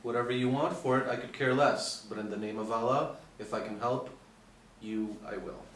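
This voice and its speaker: A man's voice: mid-range voice, steady tone, normal speed, close to an everyday voice. A man playing a cool, sly undercover pilot in a screenplay read, speaking in a clipped, insinuating, matter-of-fact way. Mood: sly, suggestive. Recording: echoey room, distant mic, faint noise.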